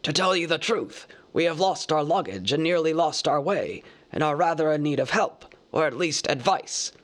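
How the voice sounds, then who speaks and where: A man reading a storybook aloud, voicing an old male wizard who speaks formally and with measured composure. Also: fairly high pitch, expressive, a bit bright, pitched above the performer's own voice. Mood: courteous.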